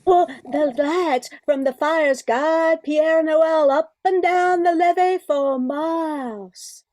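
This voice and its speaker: A woman's voice: sits high, slow pace, darkish tone. A woman playing a friendly, sweet young Cajun of the bayou in an amateur radio play.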